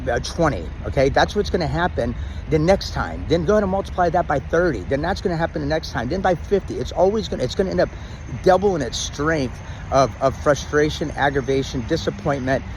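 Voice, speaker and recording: A middle-aged man giving relationship advice in a casual, rambling talk to camera, full of restarts and ums, in a loud and dominant voice. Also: on the high side, slight background noise, poor audio. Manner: says he has a loud, dominant voice.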